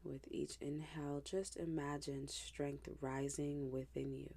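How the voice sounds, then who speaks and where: Slow, low-voiced woman guiding meditation. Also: little pitch movement. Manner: hushed, gentle, unhurried, with pauses. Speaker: meditation guide.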